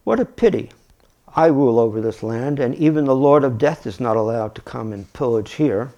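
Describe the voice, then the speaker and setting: A man's voice: medium pitch, expressive, moderate pace, mellow, muffled recording, close to an everyday voice. A man reading aloud as a small, wild boy hero from a storybook, imperious and menacing in his declarations.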